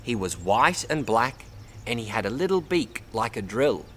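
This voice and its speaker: An Australian man reads a children's story aloud in an expressive way, addressing young listeners as a storyteller. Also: medium pitch, normal speed, slight background noise. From Bundaberg, Queensland, Australia. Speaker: storybook reader. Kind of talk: read-aloud children's story, narration with word teaching.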